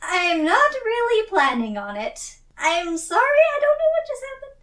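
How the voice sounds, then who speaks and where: A woman's voice: very high voice, very animated, normal speed, fairly bright tone. A giggly woman improvising as a player in a tabletop roleplaying game, often breaking into laughter. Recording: roomy, distant mic.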